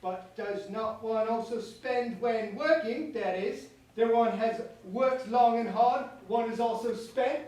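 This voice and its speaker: A man acts out a pompous young prince, bitter and grand, in a verse comedy. The voice is high and theatrical, speaking formally. It comes from well off the mic in a strongly reverberant room with faint noise. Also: takes it slowly, hardly any pauses, a little mellow, far from the mic. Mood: affectedly casual. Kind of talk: staged reading of verse comedy.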